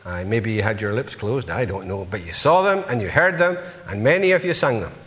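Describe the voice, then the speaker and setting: A man's voice: on the low side, expressive, brisk, fairly bright tone, in a large hall. A man preaching a sermon, earnestly putting rhetorical questions to his congregation.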